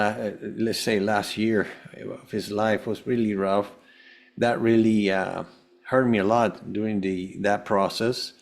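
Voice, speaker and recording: Low-pitched man telling his story haltingly. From Venezuela. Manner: halting, often repeats words. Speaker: podcast guest, holistic health coach. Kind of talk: personal storytelling in an interview.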